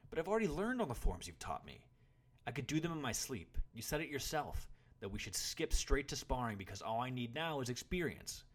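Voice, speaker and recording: A man's voice: on the low side, very animated, brisk, creaky, a bit bright, husky, pitched above the performer's own voice, full character voice. A man voicing a proud, frustrated boy warrior in training, arguing indignantly in an audiobook story. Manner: indignant, argumentative.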